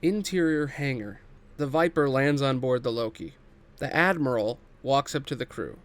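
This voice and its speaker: A man's voice: medium pitch, moderate pace, fairly bright tone. A man narrating scripted stage directions at a table read.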